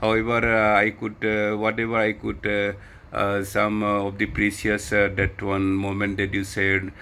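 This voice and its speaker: A man's voice: fairly low pitch, completely flat delivery, unhurried, pushed and bright, smooth. A man with an Indian accent chatting casually as an amateur radio operator, hesitant and often repeating his words. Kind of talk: casual ham radio chat.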